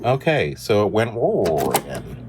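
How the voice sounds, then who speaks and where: A man thinking aloud in casual, halting, playful commentary while he works hands-on with a machine indoors. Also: lowish voice, huge pitch swings. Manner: halting, muttering to himself, playful. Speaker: vlogger repairing a tape deck. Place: indoors at a repair bench. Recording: clear machine hum and whir.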